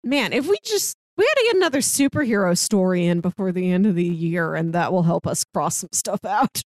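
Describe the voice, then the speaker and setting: A woman's voice: fairly low pitch, animated, brisk, darkish tone, no room sound. A woman co-hosting a podcast, chatting casually about films with her fellow host. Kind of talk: casual film review chat.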